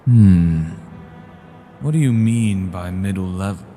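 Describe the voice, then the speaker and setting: A man playing a cold, scheming vampire clan leader in a fantasy audio drama, speaking in a deliberate, formal and grave way. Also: low voice, mellow. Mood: measured, curious.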